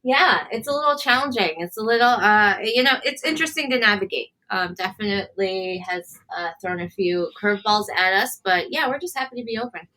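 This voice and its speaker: A woman's voice: mid-range voice, animated, fairly quick, few pauses, pushed and bright, low-quality recording. A woman chef from upstate New York, answering interview questions in a thoughtful, hesitant way. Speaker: chef, guest. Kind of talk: casual interview.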